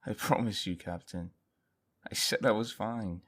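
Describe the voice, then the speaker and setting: A man playing a stubborn, protective, troubled young guardsman in an audio drama, speaking in a halting, earnest way. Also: on the low side, fairly bright tone, husky. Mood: defensive. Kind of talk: dramatic audio fiction acting.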